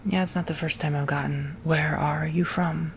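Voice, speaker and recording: A man whispering softly and gently through an ASMR roleplay, as if doing someone's makeup. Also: a little high, fairly even intonation, creaky, a little hiss or hum. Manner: soft whispering, slow and gentle.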